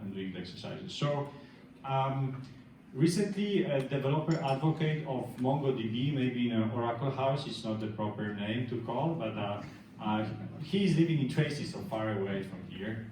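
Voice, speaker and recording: A man's voice: medium pitch, a little mellow, recorded from a distance, slight background noise. A middle-aged man from Poland with a Polish accent, giving a meetup tech talk with lots of hesitant fillers and restarts. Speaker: tech speaker. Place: large meeting room. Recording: echoey room, speaker off-mic.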